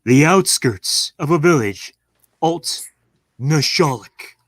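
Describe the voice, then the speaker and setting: A man in his thirties playing a suave, measured announcer in a 1930s-style suspense radio play. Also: on the high side, expressive, dry recording. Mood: suave, solemn.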